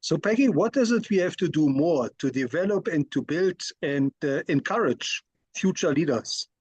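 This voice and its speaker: A man's voice: fairly high pitch, animated, moderate pace, darkish tone, slightly rough, no room sound, lo-fi sound. A man hosting an interview, asking questions in a polite, friendly way. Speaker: interview host.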